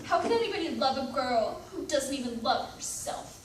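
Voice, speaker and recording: A woman's voice: sits high, animated, moderate pace. A woman acting in readers theatre with a pointed, theatrical delivery. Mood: scornful, taunting. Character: mother of a troubled son, and scolding inner voices. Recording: very echoey room, distant mic, noisy.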